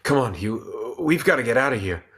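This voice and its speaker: A man's voice: medium pitch, animated, moderate pace, a bit bright, husky, pitched below the performer's own voice. A man playing an anxious, cautious young companion in a sci-fi radio play, pleading and nervous. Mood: fearful.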